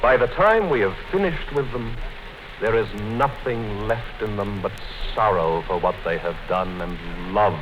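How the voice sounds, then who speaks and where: An actor in a 1950s radio play playing a calm, coldly menacing male interrogator who speaks in a measured way. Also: on the low side, close to an everyday voice. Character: cold, patient male party interrogator, middle-aged. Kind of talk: 1950s radio drama acting. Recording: dull 1950s radio broadcast with hiss.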